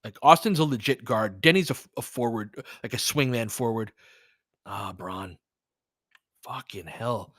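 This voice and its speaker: A man's voice: medium pitch, measured pace, fairly bright tone, husky, dry recording. Male fan commentator for live basketball, colloquial and candid, mid-range voice, very dry close-miked sound. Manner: candid, colloquial partisan fan reactions with swearing. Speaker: fan play-by-play commentator and host. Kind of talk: live basketball play-by-play commentary with casual analysis.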